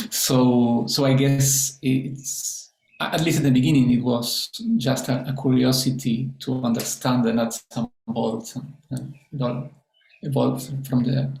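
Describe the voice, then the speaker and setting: A guitarist and composer from Argentina speaking hesitantly and thoughtfully on a video call. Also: male voice, medium pitch, level tone, slow, gravelly, darkish tone. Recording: echoey room on a call.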